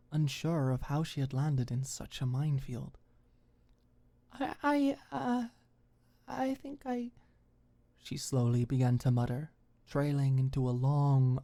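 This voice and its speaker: A woman's voice: a bass voice, mellow. A woman reading scripted fiction as an audiobook narrator, in an intimate storytelling style.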